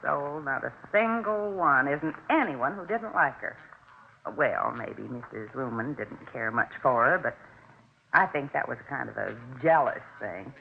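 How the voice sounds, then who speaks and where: An actor playing a folksy, stubborn, worried neighbor in a 1950s radio crime drama, chatty and insistent. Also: male voice, medium pitch, animated, measured pace, creaky. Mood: confiding. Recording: tinny, faintly noisy old radio recording.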